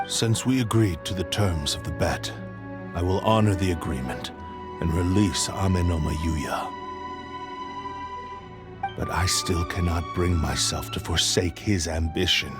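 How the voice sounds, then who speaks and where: An actor playing a remorseful, devoted sentient sword spirit in a video game, speaking in a solemn, formal way. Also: male voice, low voice, unhurried. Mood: solemn, pleading. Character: remorseful sentient cursed blade spirit, male, grave and devoted. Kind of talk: video game story acting. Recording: music behind the voice.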